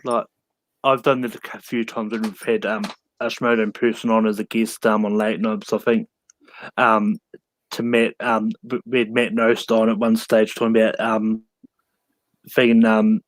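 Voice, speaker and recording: A man with a New Zealand accent chatting casually as a guest, halting and full of ums. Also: lowish voice, flat delivery, a little hiss or hum, rough recording. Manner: hesitant, halting, frequent ums. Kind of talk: casual chat.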